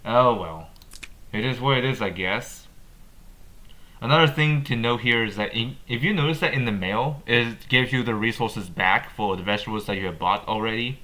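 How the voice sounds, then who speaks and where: A man hosting a gaming video with casual update commentary, speaking haltingly and often repeating and rephrasing his words. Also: medium pitch, pushed and bright, poor audio.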